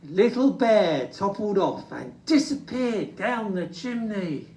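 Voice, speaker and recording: Slow, animated children's storyteller. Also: mid-range voice. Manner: dramatic, drawn-out emphasis. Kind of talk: expressive children's story reading. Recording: roomy, distant microphone.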